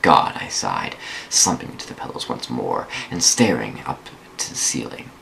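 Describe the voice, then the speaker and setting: A man's voice: very deep voice, sing-song, moderate pace, vocal fry, a bit bright, a little hiss or hum. A man giving a theatrical dramatic reading of a first-person story, drawing out words for emphasis. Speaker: amateur dramatic reader of online fan fiction.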